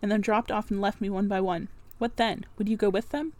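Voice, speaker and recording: Woman playing a commanding, teasing English gentleman. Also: mid-range voice, steady tone, fairly quick, dry recording, close to an everyday voice. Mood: probing, brusque. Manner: imperious, formal old-fashioned phrasing.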